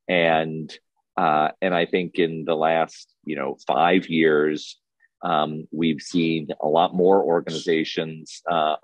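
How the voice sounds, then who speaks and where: Very deep-voiced man, halting, roomy muffled sound. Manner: halting, searching for words. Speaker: film festival director, panel guest. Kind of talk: conversational interview answer. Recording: muffled, boomy room sound.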